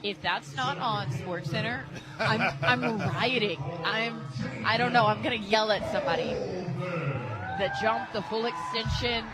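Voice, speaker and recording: A woman with an American accent giving conversational, enthusiastic color commentary on a softball radio broadcast. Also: fairly high pitch, measured pace, over loud music, rough recording. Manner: chatty, enthusiastic. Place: radio booth at a ballpark.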